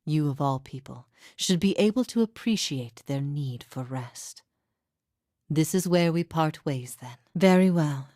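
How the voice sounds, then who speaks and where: A woman playing a grave, weary yet steadfast imprisoned heretic prophet in a fantasy game, speaking formally and with measured solemnity. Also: deep voice, animated, no room sound, clean studio sound. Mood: solemn, resolute. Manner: solemn, measured, formal, often dropping to breathy softness. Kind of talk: dramatic fantasy game dialogue.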